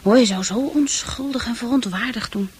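A woman playing a troubled, unsure teenage girl in a radio play, speaking intimately and hesitantly with a husky, breathy voice that often sinks to a near-whisper. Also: on the high side, moderate pace, slight background noise, natural voice. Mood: bitter, disgusted.

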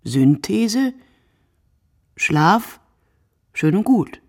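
A woman playing a reflective, poetic narrator in a literary radio play, speaking in a deliberate, declamatory way. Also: mid-range voice, very animated, full character voice. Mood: emphatic, musing. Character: reflective, poetic female narrator musing on sleep and night.

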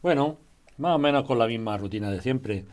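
A man's voice: medium pitch, animated, brisk, darkish tone, a little hiss or hum, lightly acted. Man playing an inquisitive, mid-voiced husband. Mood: affectionate, tired. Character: inquisitive husband working in family construction firm. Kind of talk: acted radio drama dialogue.

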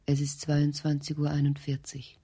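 A woman playing a grave, detached narrator in a radio drama, measured and deadpan, speaking in fragments. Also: low-pitched, slightly rough. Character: grave, detached narrator reciting scene and inner fury.

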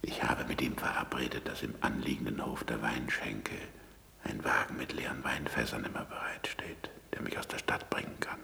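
An actor playing a weary, stubborn ageing scholar in a radio drama, with a deep gravelly voice, wary of authority. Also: male voice, fairly even intonation, moderate pace, bright, forceful tone, in a whisper, full character voice. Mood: hushed, secretive. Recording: reverberant hall.

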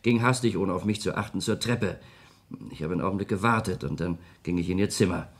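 An actor playing a dutiful detective's assistant in a vintage German radio crime drama, halting and deferential as he reports. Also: deep voice. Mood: earnest, halting. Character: hesitant, dutiful detective's snooping male assistant reporting back.

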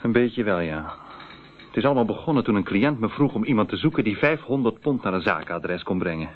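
An actor playing a worried, fretful lawyer in a Dutch radio drama, with an anxious, uneasy way of talking. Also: male voice, lowish voice, animated, fairly quick. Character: worried, fretful male lawyer, anxious about his reputation. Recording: narrow, tinny phone-like old radio recording.

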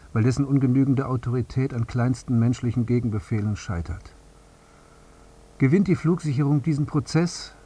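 An actor playing a bitter, grieving father in a radio drama monologue, seething with deliberate emphasis. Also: medium pitch, darkish tone, husky, far from the mic, close to an everyday voice. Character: bitter grieving father, seething at officials over dead children. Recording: muffled, dull, faint background noise.

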